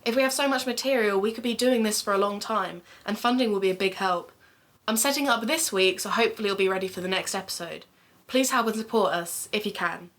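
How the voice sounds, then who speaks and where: A woman's voice: mid-range voice, fast, fairly bright tone, close to an everyday voice. A woman playing a curious, upbeat amateur sleuth and podcaster in an audio drama, speaking in a friendly, informal way. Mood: appealing, informal. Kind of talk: conversational audio drama acting.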